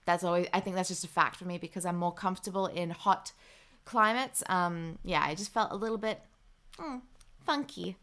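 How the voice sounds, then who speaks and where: A woman's voice: on the low side, moderate pace, fairly bright tone. A young adult woman, hosting a casual livestream, chatting and reading out viewer comments with lots of ums. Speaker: livestream host.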